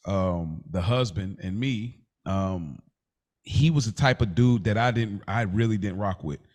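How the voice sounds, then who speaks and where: A man's voice: on the low side, a little slow, a little mellow. A man chatting casually as a podcast co-host, telling stories in loose slang and acting out what people said.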